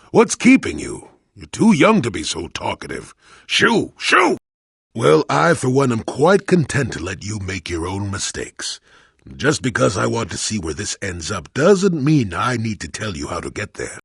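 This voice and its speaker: An actor playing a cantankerous, wry old male Jedi hermit in a video game, with a gravelly, husky voice and a grumbling, sardonic manner. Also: fairly low pitch, no room sound, studio quality, exaggerated voice. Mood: gruff, teasing, then irritated. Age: older. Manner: grumbling, sardonic, theatrically expressive. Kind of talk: video game character dialogue.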